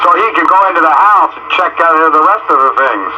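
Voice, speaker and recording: A man's voice: fairly high pitch, animated, fairly quick. An American man chatting casually over two-way radio as a ham operator. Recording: noisy muffled two-way radio transmission.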